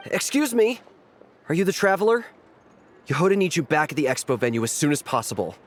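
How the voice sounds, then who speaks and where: A man's voice: a little high, very animated, fairly quick, slight background noise. An actor playing a polite, hesitant young male messenger in a video game. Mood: apologetic, urgent.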